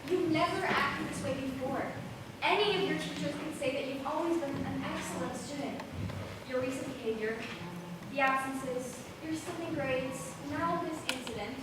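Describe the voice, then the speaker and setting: A woman's voice: high voice, normal speed, close to an everyday voice. Woman playing earnest stern school counselor in a stage play, distant mic, noisy echoey hall. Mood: stern, concerned. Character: concerned, firm female school counselor pressing a troubled student. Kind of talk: amateur stage drama acting.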